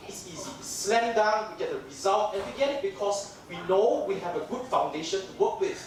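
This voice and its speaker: Singaporean man lecturing in an echoey hall. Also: high voice. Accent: Singaporean English. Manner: chatty, joking, many fillers. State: jet lagged. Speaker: dental lecturer. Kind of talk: conference lecture. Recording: echoey hall mic from far off, audience noise.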